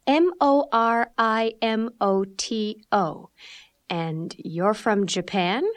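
A woman's voice: medium pitch, no echo, natural voice. Woman playing a polite, dry-voiced receptionist. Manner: clear and deliberate, polite. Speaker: office receptionist. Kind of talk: scripted textbook dialogue.

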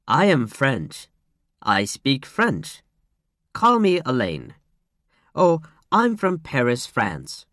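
Woman playing a very deep-voiced woman introducing herself. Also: wildly swooping pitch, slow, pauses often, no echo, clean studio sound, exaggerated voice. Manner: plain self-introduction. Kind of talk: scripted textbook dialogue.

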